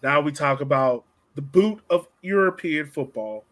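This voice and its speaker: A man with a slightly high voice hosts a sports podcast. He talks in a loose, slangy way, like casual chat between friends. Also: expressive, a little slow, fairly bright tone. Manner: informal, slangy. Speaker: podcast host.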